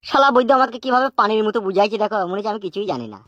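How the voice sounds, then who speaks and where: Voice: medium pitch, racing, a bit bright, no echo, muffled recording, natural voice. A performer voicing an anxious, nagging old father in a comic cartoon, grumbling and scolding. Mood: exasperated, scolding. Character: exasperated, foul-mouthed old village father, anxious and nagging.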